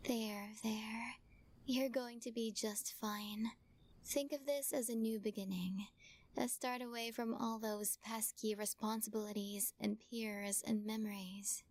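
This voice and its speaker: A woman voicing a sweetly possessive witch in an audio roleplay, talking softly and teasingly and often dropping into whispers. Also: fairly high pitch. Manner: soft, teasing, coaxing, slips into whispers.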